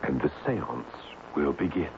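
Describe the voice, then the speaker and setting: An earnest young spiritualist, widely swooping. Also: male voice, extremely low pitch, lively intonation, unhurried, gravelly, heavily acted. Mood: reverent, hushed. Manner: reverent, polite, ceremonious. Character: earnest, devout young male believer in spiritualism. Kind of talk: old-time radio drama acting. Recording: tinny, very noisy old radio recording.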